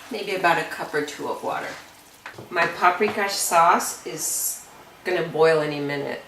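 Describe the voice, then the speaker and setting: A woman with a deep voice explains a recipe slowly and chattily as she cooks. She is recorded from some way off in an echoey home kitchen. Faint clinks of pans and cutlery sit behind her. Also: sharp tone, distant mic, slight background noise. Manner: slow, homely and chatty. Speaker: home cook presenting a recipe. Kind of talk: step-by-step cooking demonstration. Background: clinking pots and cutlery.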